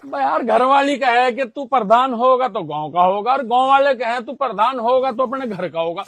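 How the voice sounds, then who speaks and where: A man's voice: extremely high pitch, expressive, normal speed, slight background noise, full character voice. A man playing a cheeky, complaining village man in a comedy cartoon skit, talking in casual slang. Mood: blustering. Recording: loud music over the voice.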